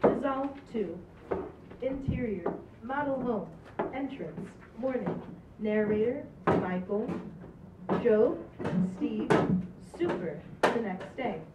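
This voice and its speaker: A woman's voice: fairly high pitch, expressive, takes it slowly. Woman reading stage directions, echoey and distant. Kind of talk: scripted narration at table read. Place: small indoor stage. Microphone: far camera. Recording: distant echoey muffled noisy camera audio.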